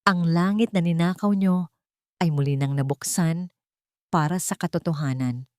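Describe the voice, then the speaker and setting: A woman's voice: on the low side, normal speed, darkish tone, no room sound, clean studio sound, natural voice. A woman voicing an older male mechanic who speaks firmly and with conviction. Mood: stern. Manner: firm, full of conviction. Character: older male mechanic leader, righteous and stern.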